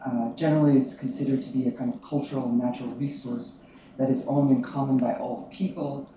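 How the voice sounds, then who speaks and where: Soft low-voiced woman academic. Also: steady tone, a little fast. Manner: soft-spoken, many ums, trying to project. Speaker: academic panelist. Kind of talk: academic presentation. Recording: roomy, distant mic, some noise.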